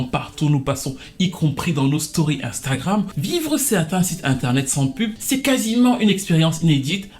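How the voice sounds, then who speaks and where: The slightly high-voiced guy fronting an online pop-culture channel, chatting playfully through the latest gossip and sponsor plugs in slangy, colloquial style. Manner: colloquial, playful, mixes English slang into French. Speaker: online video commentator and host. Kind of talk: casual scripted pop-culture commentary with sponsor read.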